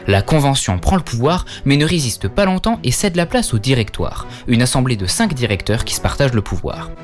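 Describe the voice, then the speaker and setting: A man tells the story of a famous painting in a scripted, explanatory narration. He speaks at a middling pitch and turns to the viewer with quick questions and wry asides. A clear music bed plays under his voice. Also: big pitch swings. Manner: addresses viewers directly with quizzes and asides. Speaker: educational video narrator. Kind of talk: scripted art-history narration.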